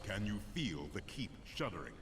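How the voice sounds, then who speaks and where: A noble, solemn advisor from a fantasy video game. His low voice is formal and urgent. Loud dramatic music runs underneath. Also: very animated, moderate pace. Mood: solemn urgency.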